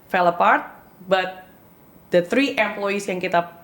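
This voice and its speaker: A woman's voice: medium pitch, slow pace, fairly bright tone. A woman in her thirties with a Bandung accent, a business-owner guest chatting casually, telling her story with laughs and acted-out quotes. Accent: Bandung Indonesian. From Indonesia. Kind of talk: casual podcast conversation, mixing Indonesian and English.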